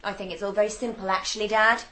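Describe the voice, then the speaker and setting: A woman's voice: mid-range voice, little pitch movement, quick pace, bright, forceful tone, close to an everyday voice. An actress playing a keen, cheeky and stubborn teenage girl arguing earnestly as a daughter in a radio drama. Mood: pert. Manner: earnest, pert, argumentative. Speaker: signalman's daughter in a radio play. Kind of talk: naturalistic radio drama acting.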